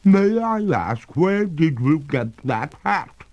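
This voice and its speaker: A man voicing a genteel female water ghost in a drawn-out, theatrical manner for a storybook reading. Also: fairly high pitch, very animated, darkish tone, dull audio, voice pushed up. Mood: haughty, curious. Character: haughty female water ghost, a ladylike, plaintive spectre.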